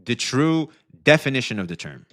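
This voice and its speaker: A male streamer giving animated, profane commentary, speaking straight to his chat. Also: mid-range voice, normal speed.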